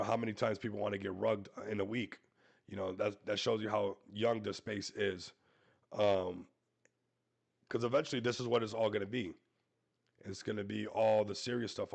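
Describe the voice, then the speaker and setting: A man hosting a casual crypto livestream, hoarse and losing his voice, hyping coins and calling out to viewers. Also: fairly low pitch. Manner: hype-driven, repeats "everybody", talks to viewers. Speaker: crypto show host.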